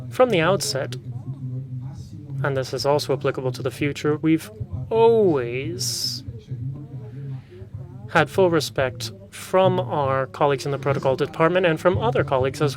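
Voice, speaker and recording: A man working as a conference interpreter, rendering a speaker's remarks in a formal, steady official tone. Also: on the high side. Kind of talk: simultaneous interpreting of a panel discussion. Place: conference room with an audience. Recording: conference room with audible crowd.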